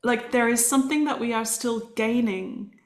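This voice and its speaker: A woman's voice: a little high, moderate pace. A woman hosting an interview podcast, asking curious, reflective questions and thinking aloud. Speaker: podcast host. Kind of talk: conversational interview. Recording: roomy echo with faint music.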